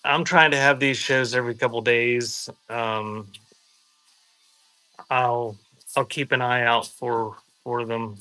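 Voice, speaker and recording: Male live-sale host, bright forward voice at middling pitch, slightly unhurried, casual and off-the-cuff, music playing behind. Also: fairly even intonation, low-quality recording. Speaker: live auction seller and host. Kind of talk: casual live-selling chat.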